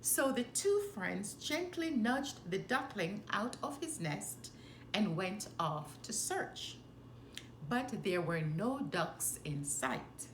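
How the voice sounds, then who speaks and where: A woman reading a children's story aloud with expressive, animated, deliberate phrasing. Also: mid-range voice. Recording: roomy echo, faint background noise.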